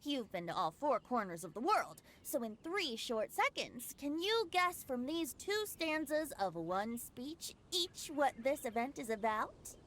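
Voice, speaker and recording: Carefree boy bard, high and lively, lilting, charming, anime game. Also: measured pace, few pauses, a little hiss or hum, full character voice. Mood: playful, lilting. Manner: lilting, poetic, playful. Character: cheerful, carefree boyish young male bard, playful and charming. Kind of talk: anime video game voice acting. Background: game music.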